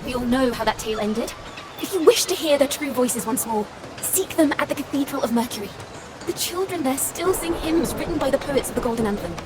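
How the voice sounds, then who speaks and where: A woman's voice: high voice, animated, a little fast, background noise. An actress playing a composed young female bard who investigates hidden truths in a video game. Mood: solemn, resolute. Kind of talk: scripted video game dialogue.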